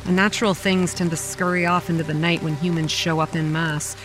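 An actress playing a young campground manager who narrates a horror audio drama in a wry, sardonic, foul-mouthed way. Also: female voice, lowish voice, flat delivery, fairly quick, lightly acted, rough recording. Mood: wry, dry. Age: young adult. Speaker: narrator and lead character. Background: rain and music.

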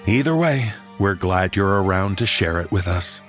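A man's voice: deep voice, animated, normal speed, fairly bright tone, faint music behind. A man hosting a podcast, speaking in a scripted but conversational style. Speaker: podcast host.

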